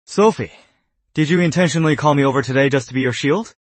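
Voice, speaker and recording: A young actor playing a gentle, patient father who speaks mildly. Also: medium pitch, fast talker, no room sound, lightly acted.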